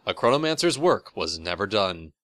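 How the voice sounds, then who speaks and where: Lively-toned male story narrator. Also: lowish voice, moderate pace, slight background noise.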